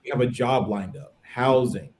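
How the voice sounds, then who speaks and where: A man in his thirties with an American accent and a mid-pitched voice. He speaks casually, as in a podcast conversation, and explains things in a chatty, informal way. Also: moderate pace, a crowd in the background. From United States. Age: 30s. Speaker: podcast participant, English teacher.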